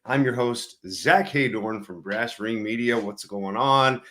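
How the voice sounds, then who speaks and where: A man hosting a live wrestling news show, chatty and upbeat, a bit hoarse from losing his voice. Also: mid-range voice, unhurried, pushed and bright. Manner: upbeat, chatty, many fillers. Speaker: host. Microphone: streaming setup mic.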